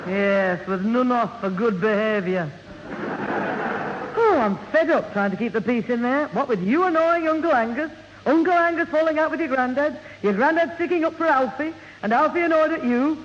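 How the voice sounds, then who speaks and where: A woman's voice: high voice, moderate pace, natural voice. A woman playing a harassed, peacekeeping mother in a radio sitcom, weary and easily flustered. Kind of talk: 1970 radio sitcom acting. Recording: muffled, noisy old radio recording, hall reverb.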